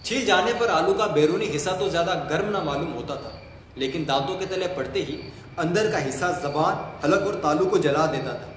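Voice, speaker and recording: A man reading scripted story narration for an audio play. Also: fairly high pitch. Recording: very echoey hall, faint noise.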